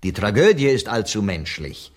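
An actor in a radio play voicing a dutiful, apologetic interpreter, speaking formally and with measure in a husky voice. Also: male voice, lowish voice, big pitch swings, normal speed. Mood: measured. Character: apologetic interpreter dutifully relaying a heated quarrel. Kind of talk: radio play acting, German and Spanish.

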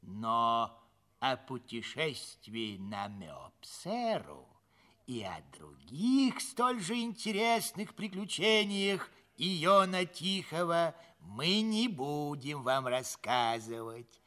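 An actor playing the presenter of a radio play, addressing listeners in a genial, mock-solemn, theatrical manner. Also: male voice, a little high, big pitch swings, slow, bright, forceful tone, heavily acted. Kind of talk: theatrical scripted radio narration.

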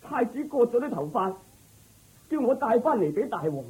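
A woman's voice: fairly high pitch, big pitch swings, moderate pace, slightly rough, narrow, tinny audio, heavily acted. A woman playing a loyal, humble male groom in a radio drama, deferential and pleading toward royal masters. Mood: respectful, reporting.

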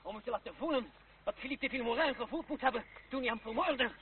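An actor playing a defiant, mockingly courteous young duelist in an old radio play. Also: male voice, very high voice, fast, a little hiss or hum. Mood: taunting. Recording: old phone-like radio recording.

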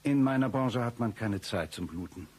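A man's voice: fairly low pitch, animated, moderate pace, a little mellow, breathy edge. An actor playing a shady, eccentric former professor turned hitman, chatty and self-important, in a satirical radio play. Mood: boastful.

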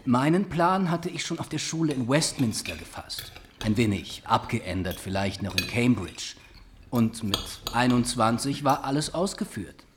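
An actor playing a suave, self-assured young gentleman of fashion in a radio drama. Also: mid-range voice, big pitch swings, normal speed, background noise, lightly acted.

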